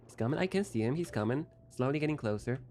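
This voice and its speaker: A man's voice: mid-range voice, animated, fast, music in the background. A young man streaming live, chatting casually while gaming in a playful, rambling, self-deprecating way.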